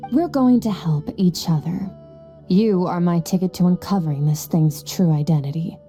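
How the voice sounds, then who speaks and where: A woman's voice: lowish voice, moderate pace, warm tone, faint music behind, close to an everyday voice. A woman playing a cold, aloof and powerful young female warrior in a video game, curt, detached and condescending. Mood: brusque, businesslike.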